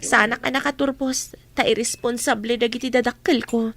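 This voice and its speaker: A woman's voice: fairly high pitch, steady tone, normal speed, a bit bright. A woman playing a sad, burdened young waitress with a polite manner in a radio drama. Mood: polite, downcast. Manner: sorrowful, polite.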